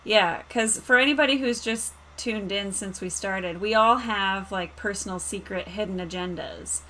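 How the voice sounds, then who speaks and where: A woman's voice: mid-range voice, moderate pace, sharp tone, poor audio. A woman playing in a streamed roleplaying game, chatting informally and explaining things with plenty of "like". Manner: informal, explanatory, uses "like" often. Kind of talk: casual chat. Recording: faint background noise.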